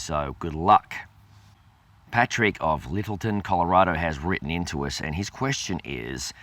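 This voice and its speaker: Deep-voiced Australian man, slightly raspy. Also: dry recording. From Adelaide, South Australia. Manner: relaxed, answers questions with many ums and uhs. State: recovering from a head cold, slightly raspy. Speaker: host. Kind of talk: casual Q&A video.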